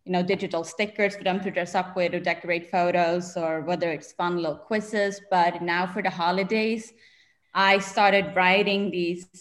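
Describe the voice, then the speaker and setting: A woman's voice: lowish voice, steady tone, moderate pace. A woman from Sweden with a Swedish accent, chatting casually as a guest in an interview and laughing often.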